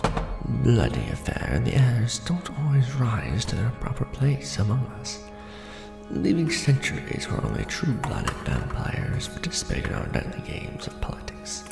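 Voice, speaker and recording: ASMR vampire lord played by a man, courtly, patient, heavy gravelly fry, breathy, formal and measured, music behind. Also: mid-range voice, huge pitch swings. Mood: explaining, solemn. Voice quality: gravelly, often breathy and half-whispered. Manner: formal, archaic wording, measured delivery with pauses. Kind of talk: ASMR roleplay addressed intimately to the listener.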